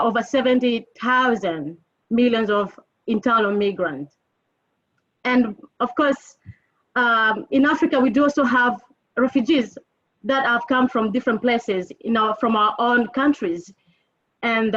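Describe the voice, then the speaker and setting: A woman from the Democratic Republic of Congo presents at an online seminar in a fairly high voice. She talks earnestly and gratefully, pausing often on fillers. The sound is dull and lo-fi, like a video call. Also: fairly bright tone. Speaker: webinar presenter. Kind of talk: formal webinar presentation.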